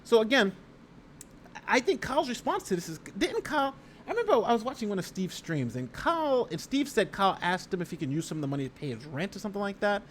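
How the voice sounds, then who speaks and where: Male livestream host, lawyer type: slightly high voice, conversational and emphatic legal commentary, reads aloud and reacts, some background noise. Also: very animated, fast. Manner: conversational, emphatic, repeats phrases and talks directly to viewers. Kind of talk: casual live legal commentary, reading a document aloud and reacting.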